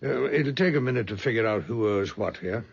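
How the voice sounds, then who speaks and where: An actor playing a genial older colonel, an effusive and avuncular host, in 1970s radio drama style. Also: male voice, mid-range voice, brisk, creaky, breathy edge. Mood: expansive, avuncular. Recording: dull 1970s radio broadcast.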